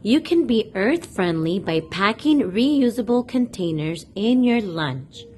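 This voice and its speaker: Slow, animated woman reading to children. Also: medium pitch, soft background music. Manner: deliberate, addresses young listeners directly with questions. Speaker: host of a children's read-aloud channel. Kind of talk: children's picture book read-aloud, storytelling to kids.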